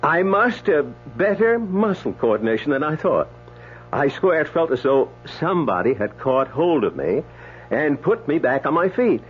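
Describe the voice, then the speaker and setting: An actor playing a blunt, chatty doctor in a radio drama, with a folksy, exclamatory storytelling manner. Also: male voice, a little high, sing-song, moderate pace. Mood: amazed, chatty. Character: blunt, chatty male doctor telling a tall tale. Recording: dull old radio recording, faint hum.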